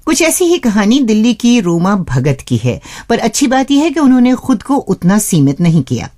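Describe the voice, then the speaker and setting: A woman's voice: a little high, big pitch swings, normal speed, mellow. A woman hosting a radio show, reading a scripted narration expressively and addressing listeners as friends.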